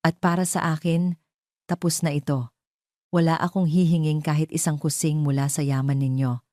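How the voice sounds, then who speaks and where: A woman voicing a calm, resolute young wife in an audio drama, speaking steadily and firmly. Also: sits low, normal speed, no echo, studio quality, close to an everyday voice. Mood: quiet defiance.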